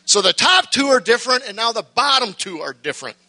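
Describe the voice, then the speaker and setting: A middle-aged man preaching a sermon, folksy and joking at first, then building to shouted fervor. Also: very high voice, sing-song, brisk.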